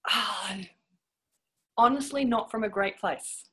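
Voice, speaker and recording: A middle-aged woman tells her own story as a podcast guest. She speaks in a reflective, candid way with a wry edge, at a middle pitch. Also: takes it slowly, sharp tone, husky. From New Zealand and Australia. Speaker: interview guest.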